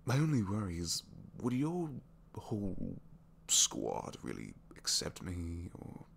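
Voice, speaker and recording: A man playing a captured, reluctantly evil supervillain in a romantic ASMR roleplay, bashful and lovestruck, speaking softly and haltingly and slipping into whispers. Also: low-pitched, huge pitch swings, gravelly, slight background noise, exaggerated voice. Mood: tender, hesitant. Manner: halting, self-deprecating, soft confiding delivery slipping into whispers.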